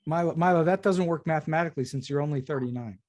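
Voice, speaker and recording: A man's voice: a little high, fast, a little mellow. An American man asking questions as a podcast host in a conversational interview style.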